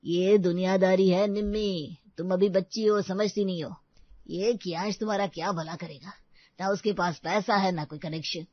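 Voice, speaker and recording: A woman's voice: fairly low pitch, fairly even intonation, normal speed, no echo, muffled recording, lightly acted. A woman voicing a stern, snobbish, money-minded middle-aged father in a story drama. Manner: stern, dismissive. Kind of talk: acted story dialogue.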